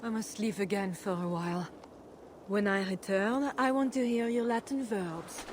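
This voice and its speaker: A woman playing a dignified, guarded and pious medieval Frankish queen in measured, formal video game dialogue. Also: mid-range voice, slight background noise, natural voice. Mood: gently maternal. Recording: music behind the voice.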